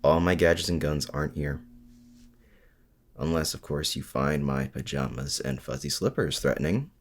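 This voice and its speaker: A man acting in an intimate audio roleplay as a lonely, awkward supervillain and mad scientist, speaking in a hesitant, sincere way. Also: extremely low pitch. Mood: wry, reassuring. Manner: hesitant, halting, softly sincere.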